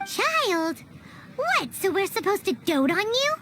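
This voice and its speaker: A woman's voice: extremely high pitch, sing-song, takes it slowly, heavy background noise, heavily acted. A woman voicing a small, childlike fairy companion in a video game, blunt and indignant, in exclamatory bursts. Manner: indignant, exclamatory, speaks in third person. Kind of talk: video game character acting. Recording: loud music behind the voice. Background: loud game music.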